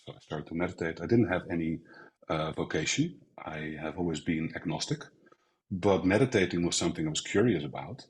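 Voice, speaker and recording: A middle-aged man from the Netherlands talks as an interview guest, reflective and story-driven. His voice sits very low, and he acts out other people's lines as he goes. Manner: reflective, storytelling with acted quotes. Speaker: guest, sales coach. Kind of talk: casual interview talk.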